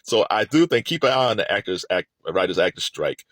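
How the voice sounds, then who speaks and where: A deep-voiced man talks as a music commentator, with very lively pitch movement. He is conversational and emphatic, pressing his opinions straight to the listener. The recording is close and dry. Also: a little fast, dry recording. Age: middle-aged.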